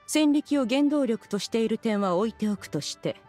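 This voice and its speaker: An ancient immortal lady from a video game, proud and imperious. Her voice sits a little high with a husky edge. She speaks in formal, archaic phrases over soft background music. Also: expressive, normal speed. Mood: haughty. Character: haughty ancient female immortal in human form.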